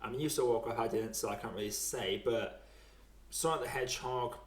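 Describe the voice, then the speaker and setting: A British man hosts a relaxed film podcast in an informal, chatty way. His voice sits at an average male pitch. The room adds a clear echo around his words. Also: brisk. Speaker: podcast host. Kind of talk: casual film podcast chat. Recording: roomy echo.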